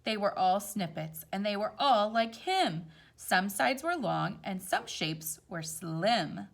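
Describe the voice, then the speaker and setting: Animated woman reading a children's storybook. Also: medium pitch, slow, creaky. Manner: expressive, explanatory, addressing young children. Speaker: storytime reader. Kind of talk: animated children's picture-book read-aloud with casual asides.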